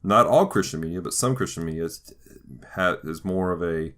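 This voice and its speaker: Low-voiced man, thoughtful podcast host. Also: animated, normal speed, a bit bright. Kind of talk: conversational podcast discussion of faith and pop culture.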